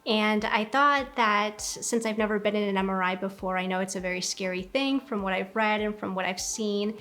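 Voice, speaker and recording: A woman's voice: mid-range voice, a bit bright. A woman in her twenties, from Ecuador, giving a reflective, earnest documentary interview as a graduate student, with frequent ums. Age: 20s.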